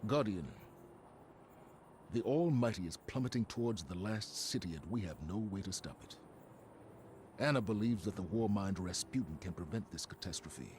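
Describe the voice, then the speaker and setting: An actor playing a solemn, dutiful military commander in a video game, speaking in measured, terse phrases. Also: male voice, low-pitched, darkish tone, slight background noise. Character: grave, dutiful male military commander, wary and resolute. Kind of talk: cinematic video game acting.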